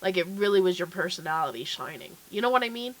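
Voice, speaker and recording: A woman's voice: on the low side, animated, fast talker, poor audio. Stuffy-nosed woman hosting a chatty livestream. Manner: chatty, upbeat, lots of fillers. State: stuffy, nasal from a cold. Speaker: livestream host. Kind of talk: casual chat.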